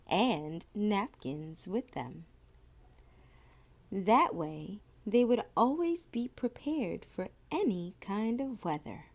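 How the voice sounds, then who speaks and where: Slow, lively woman reading a storybook. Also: medium pitch. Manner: expressive, deliberate storytelling with dramatic pauses. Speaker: storybook reader. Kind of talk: children's picture book read-aloud.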